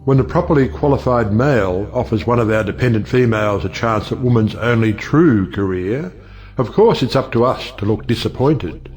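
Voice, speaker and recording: A worldly, teasing judge in a period stage comedy, played by a man. His male voice sits at a middle pitch and moves around expressively, dry and sardonic in this audio drama. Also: lively intonation, normal speed, a little mellow, lightly acted. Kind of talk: audio play acting of a 1910s stage comedy.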